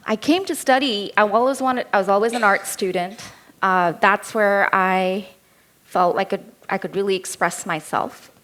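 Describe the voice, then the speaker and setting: A woman's voice: medium pitch, fairly bright tone. A woman in her thirties with an Indian accent, giving a keynote talk as a personal story told in a candid, lightly humorous way. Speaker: keynote speaker.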